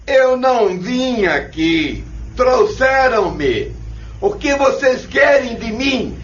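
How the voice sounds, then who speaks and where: A man's voice: very high voice, very animated, a bit bright, a little hiss or hum, heavily acted. An actor playing a vengeful, suffering spirit of a wronged father in an audio drama, anguished and defiant. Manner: slow, anguished, defiant delivery. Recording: dull lo-fi audio drama recording, roomy echo.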